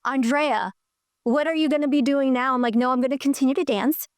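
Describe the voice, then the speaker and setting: A middle-aged woman from Colombia with a fairly high, clear, smooth voice. She tells her story in an animated, enthusiastic way. The sound is dry and close. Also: a little fast, no echo. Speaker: guest. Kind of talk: conversational interview storytelling.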